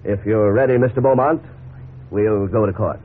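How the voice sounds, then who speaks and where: A man's voice: on the low side, moderate pace, natural voice. A man acting a confident, businesslike lawyer advising a client in a 1950s radio drama. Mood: businesslike, assured. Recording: tinny, hissy 1950s radio recording.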